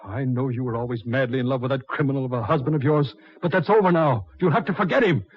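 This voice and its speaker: An actor playing an ardent, insistent suitor, overwrought and melodramatic in 1940s radio style. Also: male voice, mid-range voice, fast talker, slightly rough, lightly acted. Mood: possessive, insistent. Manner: impassioned, overwrought, forceful pleading. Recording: muffled 1940s radio recording.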